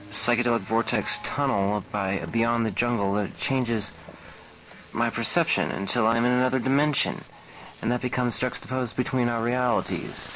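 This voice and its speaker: A man's voice: on the low side, a bit bright, poor audio. A man playing an earnest military hero in an amateur audio drama, declaiming in a stilted way. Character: earnest military hero puzzling over parallel worlds.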